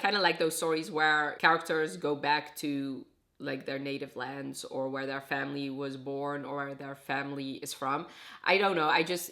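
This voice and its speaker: Low-voiced woman chatting about books. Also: bright, forceful tone. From Iraq. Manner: chatty, self-correcting, summarizing. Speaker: book reviewer. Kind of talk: casual booktube chat.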